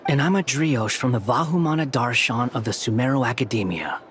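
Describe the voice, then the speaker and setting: A man's voice: medium pitch, animated, a little fast, a bit bright, slight background noise, close to an everyday voice. Man playing an aloof, sardonic young scholar. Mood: dry, aloof. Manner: curt, dry, dismissive. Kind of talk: video game voice acting.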